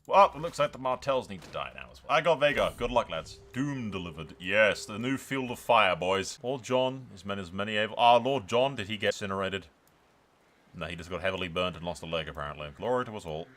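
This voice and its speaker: A man giving casual, excited gameplay commentary as a streamer, with sweary, theatrical banter. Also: lowish voice, a little fast. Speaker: game streamer narrating a strategy role-play. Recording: music behind the voice. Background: music.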